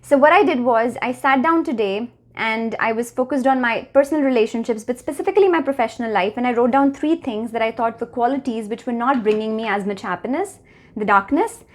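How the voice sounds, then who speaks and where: A woman in her thirties from India with an Indian accent, hosting her own channel and talking earnestly and reflectively to the camera. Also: fairly high pitch. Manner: earnest, measured, slows to stress key phrases. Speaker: channel host. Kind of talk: reflective personal talk to camera.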